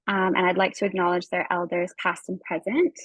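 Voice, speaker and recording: Woman, Canadian accent, husky voice, dry close sound, friendly informal workshop host. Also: fairly low pitch, little pitch movement, brisk, no room sound, low-quality recording. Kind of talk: presenting an online workshop to participants. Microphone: video call.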